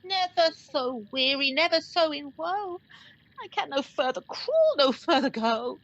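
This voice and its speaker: Woman playing a creaky, worn-out young maiden. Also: sits high, sing-song, a little slow, a bit bright, muffled recording, exaggerated voice. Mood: exhausted, woeful. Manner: woeful, weary declaimed verse. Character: worn-out, woeful young woman searching for her lover. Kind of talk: Shakespearean radio play acting.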